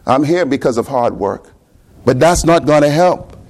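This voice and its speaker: A man's voice: fairly high pitch, animated, normal speed, darkish tone. Bahamian-accented man preaching a sermon. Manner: conversational preaching with rhetorical questions and repeated words.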